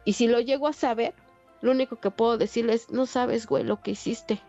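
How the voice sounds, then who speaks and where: A woman's voice: on the high side, steady tone, brisk, gravelly, warm tone, lo-fi sound, faint music behind. A Mexican woman in her forties telling her personal story as a guest in a casual interview. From State of Mexico, Mexico. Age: 40s. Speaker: interview guest, online creator.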